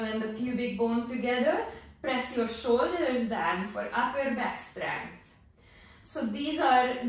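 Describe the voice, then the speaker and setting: A woman in her forties leading a pilates class at home, cueing each move calmly with many fillers. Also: fairly high pitch. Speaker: pilates instructor. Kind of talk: guided exercise instruction. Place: home exercise studio, indoors. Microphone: far camera. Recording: echoey room, voice from far off.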